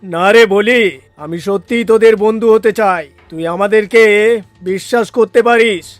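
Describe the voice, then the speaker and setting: Cartoon lion, played by a man, high-pitched, dramatic, declamatory, fierce yet soft-hearted. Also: normal speed, no room sound, soft background music, natural voice. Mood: friendly, reassuring. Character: fierce male lion softened into a friend.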